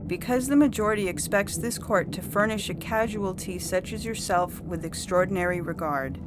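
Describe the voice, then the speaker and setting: A woman's voice: mid-range voice, normal speed, background noise, lightly acted. A woman playing a coldly official, unyielding judge in a dramatized science fiction story reading, lecturing formally. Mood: lecturing, certain. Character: coldly official female judge, zealous about majority rule. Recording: loud music bed under the voice.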